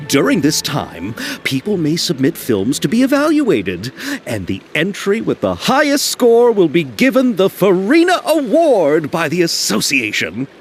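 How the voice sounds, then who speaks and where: Game filmmaker role: highish, very animated delivery, earnest, bookish, polite and easily flustered. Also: male voice. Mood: enthusiastic. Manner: earnest, wordy explainer, very expressive. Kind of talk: video game cutscene acting.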